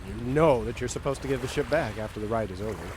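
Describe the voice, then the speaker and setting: A man's voice: medium pitch, quick pace, background noise, natural voice. A man playing a stern, matter-of-fact security captain in an audio drama. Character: stern male security captain, dry and commanding.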